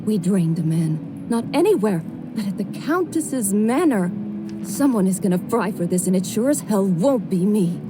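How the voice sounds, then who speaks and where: A woman's voice: mid-range voice, expressive, normal speed, noisy background, lightly acted. A woman playing a callous, self-serving vampire woman in a video game, snappish and scornful.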